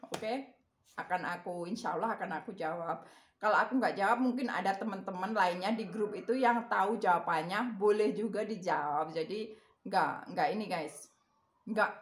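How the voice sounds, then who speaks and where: A chatty woman with a Javanese accent talks to her viewers in a loose, rambling way, laughing between her points. Her voice sits at an ordinary pitch for a woman. The room around her gives a noticeable echo. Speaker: vlogger. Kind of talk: casual chat to viewers.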